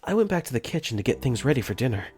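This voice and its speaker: A young man voicing an earnest, caring dorm caretaker for a fan dub, gently narrating his own thoughts in first person. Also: medium pitch, big pitch swings, rapid-fire, husky. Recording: faint music under the voice.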